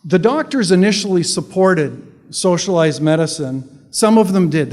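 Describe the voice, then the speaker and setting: Male speaker from Canada, sarcastic stump-speech delivery, voice on the higher side, reverberant hall. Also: darkish tone. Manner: sarcastic, conversational, repeats words when restarting. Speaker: public speaker. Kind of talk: political speech to an audience.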